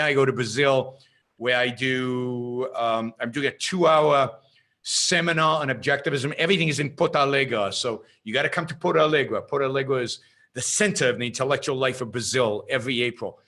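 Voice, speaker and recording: A man's voice: mid-range voice, bright, forceful tone. A man hosting his own podcast, chatting casually and enthusiastically in a rambling way with many fillers and restarts. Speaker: podcast host. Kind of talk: casual monologue announcing upcoming talks.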